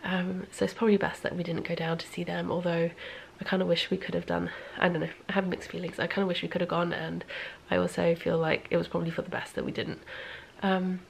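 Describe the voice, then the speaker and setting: A woman's voice: lowish voice, flat delivery, fast, gravelly, a little hiss or hum. A woman chatting casually in a vlog in a rambling way, audibly congested and sniffly, sometimes dropping almost to a whisper. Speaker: knitting vlogger.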